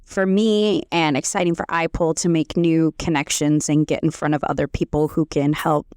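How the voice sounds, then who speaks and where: An American woman hosts a podcast in a loud, playful, joking way. Her voice sits a little low and the sound is dry and close. She talks casually with her co-hosts. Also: fairly even intonation, normal speed, clear, resonant tone, no echo. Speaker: podcast host.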